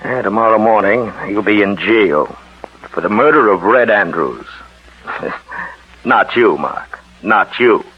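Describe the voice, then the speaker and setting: An actor playing a shrewd, steady detective in a 1940s radio drama, assured and insistent as he explains. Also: fairly low pitch, slow pace. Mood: assured, explanatory. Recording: muffled, husky-sounding 1940s radio recording.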